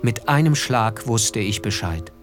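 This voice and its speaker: An actor playing a reflective, observant first-person narrator in a radio drama, measured, sober and quietly disillusioned. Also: male voice, lowish voice, lively intonation, over music. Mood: wry, weary.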